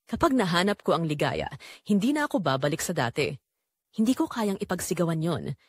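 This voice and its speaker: A woman playing an unhappy wife and mother with a Filipino accent, confessing her betrayal in measured, reflective first-person audio drama narration. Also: fairly low pitch, sing-song, husky, no echo, studio quality. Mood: troubled, resolute.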